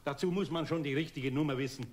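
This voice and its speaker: A man's voice: on the high side, fast, darkish tone, slight background noise, natural voice. An actor playing a stern but fatherly older craftsman with a Bavarian accent in a children's radio play. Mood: admonishing.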